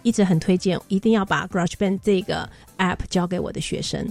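A woman's voice: on the low side, fairly quick. A woman with a Taiwanese Mandarin accent, a music teacher explaining things point by point as an interview guest. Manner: explains point by point with softening particles. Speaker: high school music teacher, radio guest.

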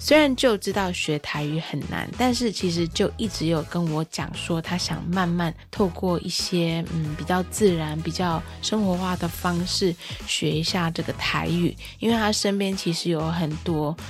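A woman's voice: on the low side, normal speed, few pauses, soft background music. A woman from Taiwan hosting a podcast, chatty and self-deprecating, reading scripted narration that moves between English and Mandarin. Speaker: podcast host.

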